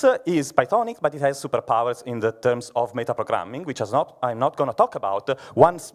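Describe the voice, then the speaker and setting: A man from Italy, giving a short conference talk with frequent fillers and restarts. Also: mid-range voice. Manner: hesitant, many fillers, says he has a loud voice. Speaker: conference speaker. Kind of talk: lightning talk presentation. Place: conference hall.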